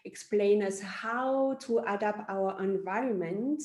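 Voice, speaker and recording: A woman hosts a conversational online interview in English. Her voice sits at a medium pitch, and the call has an echoey, roomy sound. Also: takes it slowly, darkish tone. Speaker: host, parenting coach.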